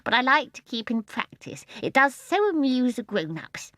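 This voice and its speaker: A woman's voice: high voice, sing-song, normal speed, no room sound, studio quality, voice pushed up, full character voice. A woman voicing a blunt, cheeky baby boy in a storybook. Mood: matter-of-fact. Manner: loud, blunt.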